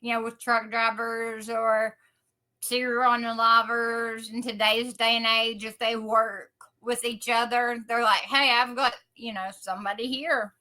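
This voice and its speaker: A woman's voice: a little high, little pitch movement, unhurried, pushed and bright. A woman talking casually as a co-host on a true-crime podcast.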